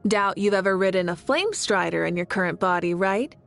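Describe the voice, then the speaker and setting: A woman's voice: medium pitch, a little fast, creaky, faint music behind, natural voice. A woman playing a confident, playful warrior leader in a video game, with an assured, teasing manner.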